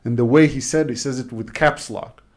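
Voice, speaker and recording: A man hosting a podcast in a loose, casual chat, reading jokes aloud and filling pauses with "uh" and "um". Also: mid-range voice, darkish tone. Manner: chatty, loose, many fillers. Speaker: podcast host.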